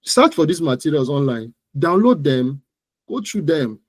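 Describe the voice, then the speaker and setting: A man's voice: on the high side, very animated, normal speed, no room sound. Nigerian man giving instructive advice. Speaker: interview guest, digital marketing expert. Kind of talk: conversational explanatory interview answers.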